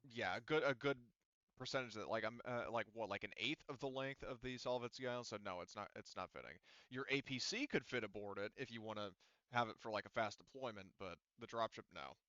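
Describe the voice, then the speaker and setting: A man's voice: medium pitch, fairly quick, fairly bright tone, dry recording, highs cut off. A man acting as game master, explaining the setting in a rambling, casual way with many fillers. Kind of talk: casual tabletop game narration.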